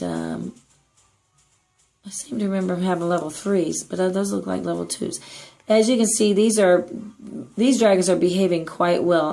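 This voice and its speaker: Woman, hoarse, raspy, lowish voice, chatty, upbeat, gameplay tutorial narration. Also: darkish tone. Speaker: clan leader giving strategy tips.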